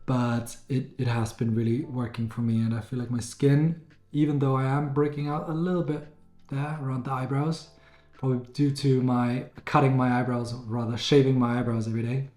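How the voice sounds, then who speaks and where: A man with a raspy, slightly hoarse voice, chatting casually to camera as a beauty vlogger, rambling and correcting himself as he goes. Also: mid-range voice, fairly even intonation, fairly quick, dark tone. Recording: roomy echo, faint music.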